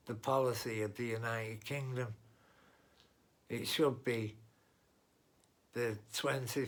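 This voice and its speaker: A man's voice: medium pitch, a bit bright. A man giving a blunt, rambling monologue straight to his viewers, with restarts and asides. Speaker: vlogger. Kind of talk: unscripted political monologue.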